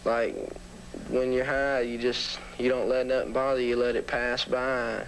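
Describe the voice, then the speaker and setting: A man's voice: medium pitch, little pitch movement, moderate pace, a little hiss or hum, rough recording. A teenage boy in a casual documentary interview, rambling with lots of "you know" and "like". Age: teens. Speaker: interviewed high school marijuana smoker.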